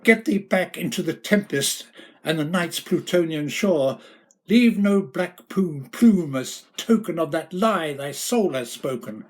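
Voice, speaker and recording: A man playing a tormented, husky, grieving Gothic narrator. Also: fairly high pitch, animated, measured pace, pitched above the performer's own voice. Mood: furious, desperate. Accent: English. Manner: anguished, impassioned, declamatory. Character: grief-stricken tormented male narrator of a Gothic poem. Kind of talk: dramatic theatrical poetry recitation.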